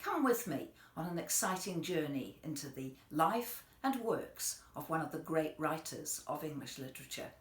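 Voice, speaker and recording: A woman's voice: deep voice, very animated, moderate pace, few pauses. A woman giving an enthusiastic, inviting introduction to her talks. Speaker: literary speaker. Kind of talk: promotional introduction. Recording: roomy, echoey sound.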